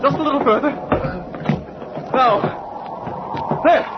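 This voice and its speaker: A man's voice: very high voice, slow pace, a loud crowd. An actor playing a frantic, desperate man who stammers and pleads for help, in 1940s radio acting, on a muffled, noisy old radio recording. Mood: urgent. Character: frantic desperate man clinging to his box.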